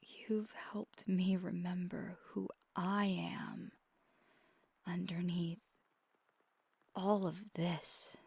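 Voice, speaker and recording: Woman playing a tender vampire girlfriend, ASMR roleplay, low-pitched, breathy half-whisper, halting, wistful, close and dry. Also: little pitch movement, a little mellow, no echo, heavily acted. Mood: tender, reflective. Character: wistful, tender female vampire girlfriend, ageless, confiding softly. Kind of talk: intimate ASMR roleplay monologue. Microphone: close microphone.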